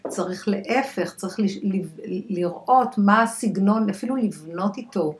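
An Israeli woman speaking as an expert guest in a conversational podcast interview, halting and repeating words for emphasis as she searches for phrasing. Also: mid-range voice. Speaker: guest expert, clinical dietitian. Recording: echoey room.

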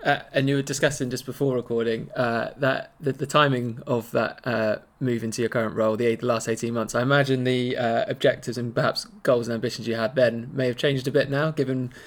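A man's voice: mid-range voice, steady tone, a little fast, hardly any pauses. A man hosting a business podcast, asking questions in a friendly way with plenty of fillers. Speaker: podcast host. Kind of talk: business interview.

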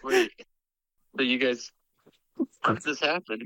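A man's voice: medium pitch, wildly swooping pitch, measured pace, sharp tone, no room sound, low-quality recording. A man who has been phoned by podcasters, talking casually and conversationally. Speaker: friend phoned during a podcast.

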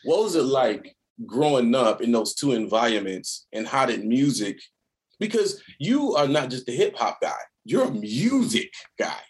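A man hosting a casual podcast, asking questions in a lively, playful way. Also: mid-range voice, unhurried. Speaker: podcast host.

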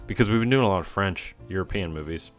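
A young man co-hosting a casual film podcast, speaking haltingly with lots of fillers. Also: deep voice. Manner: halting, filler-heavy, chuckling. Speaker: podcast co-host. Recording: faint music behind.

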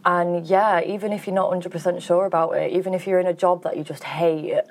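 A woman's voice: on the low side, fairly even intonation, a little fast, a little mellow. A woman grower answering interview questions in a chatty, easygoing way, with lots of fillers and easy laughter. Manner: chatty, full of "like", laughs easily. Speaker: farm grower.

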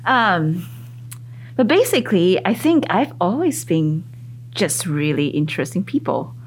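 Woman, first language Mandarin, psychologist guest, lower-pitched, thoughtful, faint background hum. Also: very animated, a little slow. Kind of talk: casual interview.

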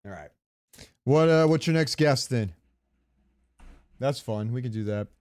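A man's voice: mid-range voice, animated, measured pace, a little mellow. A man co-hosting a podcast in casual, informal chat, swearing freely. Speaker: podcast co-host.

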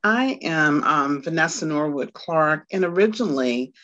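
A woman from Washington, D.C. answers questions as an interview guest. She talks slowly, with a bright tone and many pauses. The audio sounds dull and lo-fi. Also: sits low, expressive. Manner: unhurried, with many "um" pauses and small laughs. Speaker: guest, Montessori teacher.

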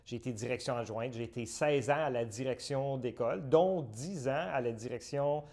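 A middle-aged man from Northern Ontario, answering interview questions in measured, list-like phrases with frequent hesitations. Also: mid-range voice, darkish tone. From Northern Ontario, Canada. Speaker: guest, community centre director.

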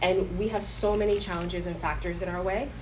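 Woman panelist, lowish pitch, earnest and encouraging, faint hiss. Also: fairly even intonation, brisk, darkish tone, low-quality recording. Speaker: panelist, public servant. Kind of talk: giving career advice on a panel.

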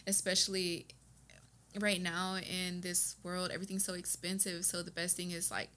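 Bright-voiced American woman, slightly low. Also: flat delivery, normal speed. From Texas, United States. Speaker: podcast guest, beauty business owner and educator. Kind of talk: casual conversational interview, personal storytelling.

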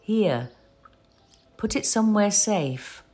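An actress playing a patient, practical elderly wife in an audio play, explaining things in a fond, conversational way. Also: female voice, medium pitch, animated, takes it slowly. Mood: reassuring. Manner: conversational, gently explaining, reassuring. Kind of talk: audio play acting with reflective narration.

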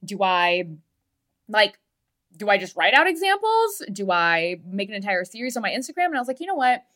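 A woman's voice: medium pitch, big pitch swings, a little fast, a bit bright. A woman with a lisp, hosting a solo podcast as a coach, talking in a frank, emphatic, conversational way and swearing freely. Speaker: podcast host and marketing coach. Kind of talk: candid solo podcast talk.

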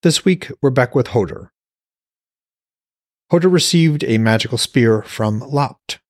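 A man reading scripted fiction as narrator and host, with a husky voice. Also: mid-range voice, lively intonation, measured pace, no echo, studio quality. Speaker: podcast host, author reading his own novel.

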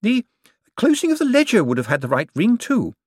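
A man voicing a sharp-tongued, defiant elderly woman in an audiobook. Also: sits high, wildly swooping pitch, a little fast, husky, no echo, studio quality, voice pushed up, exaggerated voice. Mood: tart, defiant.

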